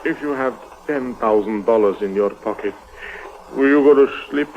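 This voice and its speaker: A man's voice: medium pitch, natural voice. Man playing nervous little Greek schemer, muffled old radio. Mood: wary, confiding. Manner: hesitant, fussy, halting broken English. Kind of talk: old-time radio drama acting. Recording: muffled, noisy vintage radio recording.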